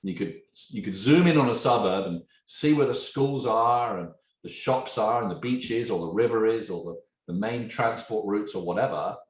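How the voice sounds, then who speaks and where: Fifties English man, Aussie-tinged accent, lower pitch, lively storytelling guest in an echoey room. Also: moderate pace, few pauses. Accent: English, softened by years in Australia. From England. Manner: animated storyteller, poses questions to his listener. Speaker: guest, tech entrepreneur. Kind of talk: conversational podcast interview. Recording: roomy echo.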